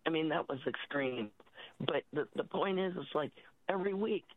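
Husky woman caller on a tinny phone line. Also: deep voice, dry recording. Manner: halting, searching for words. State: husky after a cold. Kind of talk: call-in talk.

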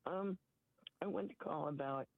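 A woman calling in to a radio talk show, her voice husky after a cold, talking in halting starts and restarts. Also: low-pitched, dry recording. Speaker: caller. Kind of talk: call-in radio chat. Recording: tinny phone line.

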